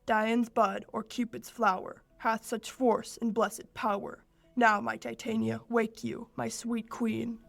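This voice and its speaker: A young woman playing a proud fairy king, reciting Shakespearean verse in a measured, declamatory way for a radio play. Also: on the high side, little pitch movement, vocal fry, no room sound. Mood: commanding. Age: teens or young adult.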